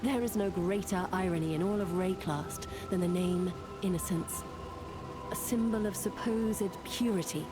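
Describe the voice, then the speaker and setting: An actress playing a solemn, guarded woman who keeps dangerous secrets, speaking in a grave, measured way in a fantasy game. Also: lowish voice, lightly acted. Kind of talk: dramatic fantasy game narration.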